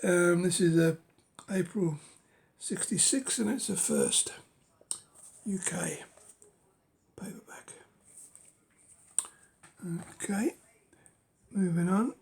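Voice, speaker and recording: A middle-aged man with a cold, speaking hoarsely and haltingly in a casual show-and-tell of his books, often dropping to a whisper. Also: sits high, darkish tone. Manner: halting, many ums and uhs, drops to a whisper. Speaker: book collector vlogger.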